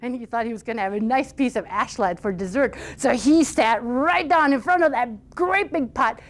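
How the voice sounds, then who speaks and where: A woman storyteller telling a fairy tale aloud in an informal, conversational and animated way. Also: a little high, normal speed.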